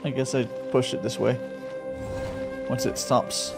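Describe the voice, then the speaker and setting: A man's voice: medium pitch, flat delivery, measured pace, vocal fry. A man streaming a game, rambling and joking casually. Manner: rambling, joking, laughs often. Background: game music.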